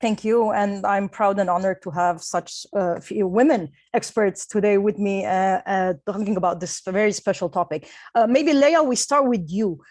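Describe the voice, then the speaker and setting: A woman of medium pitch moderates a panel over a video call. She thanks speakers and hands over with questions, and her audio is dry with little room sound. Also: moderate pace, few pauses, a little mellow, no room sound.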